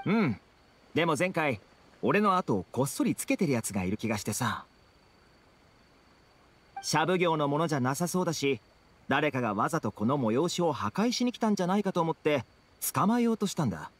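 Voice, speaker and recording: A man's voice: a little high, sing-song, a little fast, slight background noise. An actor playing a friendly, easygoing young man in a Japanese fantasy anime game. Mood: friendly, recounting. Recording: background music behind dialogue.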